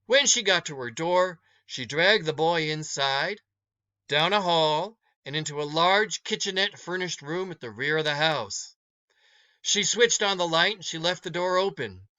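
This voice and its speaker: A male teacher narrates a short story for students to follow along. His voice is bright and forceful, a little high, and he takes it at a slow pace. The recording is slightly dull. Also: expressive.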